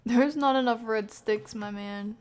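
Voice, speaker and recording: A woman doing casual live commentary on a horror game, talking to chat in slang and thinking out loud as she reacts. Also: fairly high pitch, a little slow. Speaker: game streamer.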